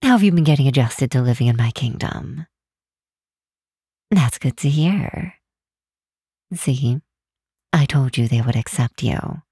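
A woman voicing a kind, protective demon queen in an ASMR comfort roleplay, speaking gently and reassuringly in a measured way, close to the microphone. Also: rumbling low, animated, husky, no room sound, exaggerated voice. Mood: warm greeting. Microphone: close, dry microphone.

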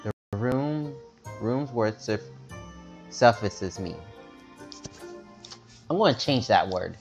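Low-voiced man reading a game aloud. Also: lively intonation, takes it slowly. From America. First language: not English. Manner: stumbles over words, chatty asides. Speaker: gameplay commentator reading the game's text aloud. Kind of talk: casual let's-play reading and chat. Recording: faint game music behind.

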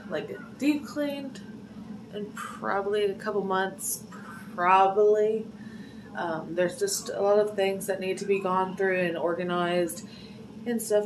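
A woman's voice: lowish voice, recorded from a distance, noisy background. Stuffy-nosed woman chatting to her phone. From Ohio. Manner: chatty, rambling with many fillers. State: congested from a cold. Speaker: vlogger. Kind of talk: casual vlog to camera. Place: small home pantry, indoors. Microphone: phone camera at arm's length.

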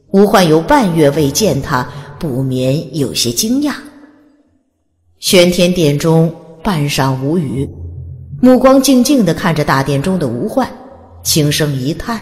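A woman's voice: sits low, sing-song, measured pace, a little mellow, long reverb tail. A woman narrating a fantasy audiobook in a dramatic, scripted storytelling style. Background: music.

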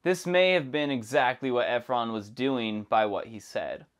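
A man's voice: mid-range voice, moderate pace. Young man reading a scripted Bible lesson. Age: young adult. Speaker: Bible teacher. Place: dark studio with plants behind. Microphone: lapel mic.

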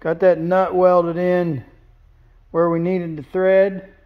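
Slow-talking plain-spoken welder man. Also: high voice, flat delivery, smooth, narrow, tinny audio. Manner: plain-spoken, explains as he points things out. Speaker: welder and fabricator. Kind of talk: casual shop walkthrough. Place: large indoor metal fabrication shop.

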